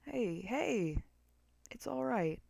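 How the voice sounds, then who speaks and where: A woman's voice: fairly high pitch, animated, drawn-out words, vocal fry, darkish tone, dry recording, voice pushed up, heavily acted. A woman voicing a laid-back, joking male skeleton with a protective big-brother streak, in a casual, slangy dramatic reading. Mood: soothing. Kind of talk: dramatic fan-fiction reading.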